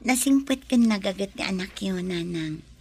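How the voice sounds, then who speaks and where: A woman playing a kind, devoted young bride-to-be in a radio drama, with a breathy, creaky voice. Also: medium pitch, animated, unhurried, a little mellow. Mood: respectful, warm.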